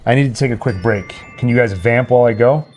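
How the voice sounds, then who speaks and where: A man's voice: medium pitch, quick pace, a little mellow. A man hosting a live stream with casual, playful banter, slipping into announcer-like score calls. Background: music.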